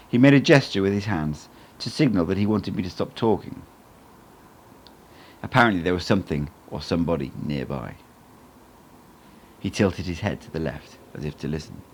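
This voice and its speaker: A man reading a book aloud as an amateur audiobook narrator, in an expressive storytelling style. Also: low-pitched, a little hiss or hum.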